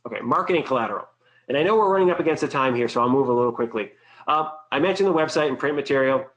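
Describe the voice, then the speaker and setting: Man, mid-pitched, informal webinar trainer, chatty, filler-heavy delivery. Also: expressive, rapid-fire, fairly bright tone, muffled recording. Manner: conversational, uses "uh" and "right?" often. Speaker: webinar presenter. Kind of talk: business sales webinar.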